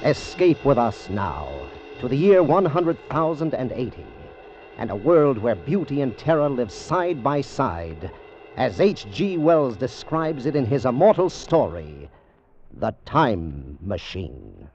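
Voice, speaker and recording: A man announcing a 1950s radio drama in deliberate, theatrical scripted narration. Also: mid-range voice, big pitch swings, darkish tone, faint music behind. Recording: muffled old radio broadcast, faint noise.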